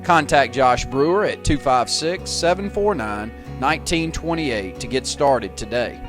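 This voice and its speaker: A man giving a steady, scripted read of a local promotional spot. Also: medium pitch, unhurried, music in the background.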